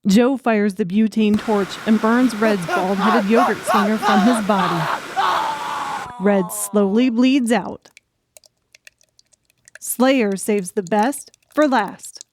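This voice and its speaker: A woman's voice: medium pitch, slow, long pauses. Woman narrating a thriller, noisy recording. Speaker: audiobook narrator. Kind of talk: dramatic scripted narration in present tense.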